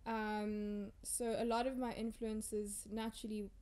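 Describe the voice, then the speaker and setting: A woman's voice: mid-range voice, level tone, measured pace, darkish tone. A young woman from South Africa, a guest in a casual sit-down interview, talking easily with many "um"s and "like"s into a handheld mic. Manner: chatty, thinks aloud with many "um"s and "like"s. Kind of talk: casual conversation. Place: small indoor room with curtains, seated in armchairs. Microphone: handheld mic passed between speakers.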